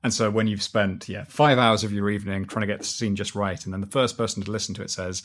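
A man's voice: fairly low pitch, fairly even intonation, quick pace, a bit bright. A middle-aged man who writes and designs sound, chatting casually as an interview guest.

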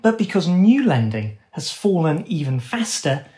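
A man's voice: fairly high pitch, very animated, measured pace, mellow. A man giving conversational economic analysis as an interview guest, explaining in informal, nuanced phrasing.

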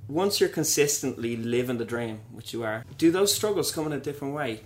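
A man's voice: medium pitch, lively intonation, brisk, a little hiss or hum. A man interviewing a guest, asking questions in a teasing, casual way.

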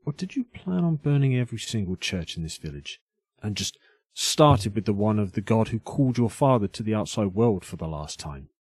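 Man acting as husky, stern older inspector. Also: fairly low pitch, big pitch swings, darkish tone, no room sound. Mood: challenging, insistent. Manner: measured, authoritative, formal. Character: stern, probing older male inspector questioning a young arsonist. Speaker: voice actor in an audio drama. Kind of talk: dramatic interrogation dialogue.

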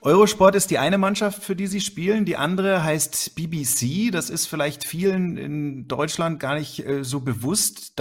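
A male interviewer who puts his questions in a measured, formal way. His voice sits a little high and carries a strong gravelly fry.

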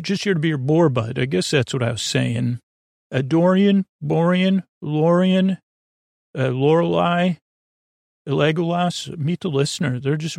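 A man hosting a bedtime podcast, drifting through meandering, digressive talk in a soft, unhurried, self-deprecating way. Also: mid-range voice, vocal fry, dry recording, clean studio sound. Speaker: podcast host.

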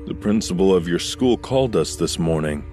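A man's voice: deep voice, expressive, normal speed, a little mellow, soft background music. A man playing a stern but protective father of a teenage girl in an acted story. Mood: grave.

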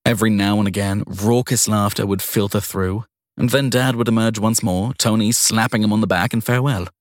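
A man reading a scripted first-person crime story in a measured storytelling manner, with dramatic pauses. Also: on the low side, steady tone, dry recording, studio quality. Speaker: narrator of a short crime story.

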